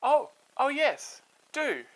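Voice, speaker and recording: Man voicing a high-pitched, genteel young princess. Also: very animated, slow, exaggerated voice, rough recording. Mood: gracious. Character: genteel Egyptian princess, curious and gracious. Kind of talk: storytelling for children.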